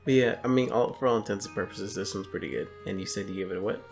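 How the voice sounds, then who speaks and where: Low-voiced man rambling casually. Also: fast talker, vocal fry, music in the background. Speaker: podcast co-host. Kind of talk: casual comedic chat.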